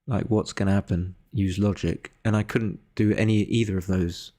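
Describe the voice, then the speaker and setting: A man's voice: lowish voice, fairly even intonation, moderate pace, warm tone. A man giving casual live game commentary as a streamer, hesitant and thinking aloud as he goes. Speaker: livestreamer analysing his backgammon games.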